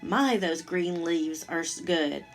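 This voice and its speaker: A woman's voice: on the low side, takes it slowly, soft background music. A woman voicing a small, polite, wistful male caterpillar in a children's storybook, full of simple admiring exclamations. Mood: wondering.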